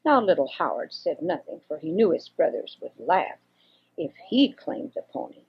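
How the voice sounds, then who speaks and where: An older woman reads a children's story expressively, with a husky voice, on a homemade cassette. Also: deep voice, sing-song, moderate pace. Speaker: storyteller reading for children and grandchildren. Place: home recording. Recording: dull homemade 1980s cassette recording.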